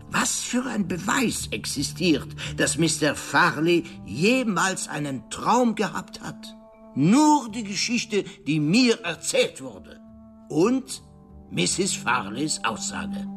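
An actor playing a shrewd, self-assured detective in a radio drama, laying out a case with rhetorical questions and emphatic pauses. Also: male voice, a little high, a bit bright, full character voice. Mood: dramatic revelation.

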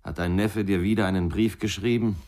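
Man playing gruff ex-policeman, very low voice, cynical, sardonic, dismissive, radio crime drama. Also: steady tone, a little fast, darkish tone, close to an everyday voice. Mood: dry, challenging. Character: gruff, cynical male ex-policeman with a drinking past. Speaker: ex-police detective in a crime series.

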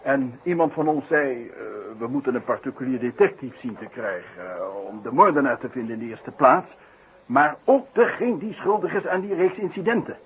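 A man playing a solemn circus ringmaster in a 1960s Dutch radio drama, speaking as a courteous, halting, formal spokesman. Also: medium pitch, husky. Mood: formal, earnest. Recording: dull, noisy old radio recording.

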